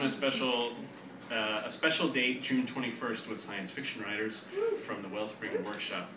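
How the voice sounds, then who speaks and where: A man with a wry, self-deprecating manner, hosting and reading aloud to a live audience. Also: medium pitch. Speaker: co-host of a reading series, poet. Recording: echoey room, distant mic, noisy live audience.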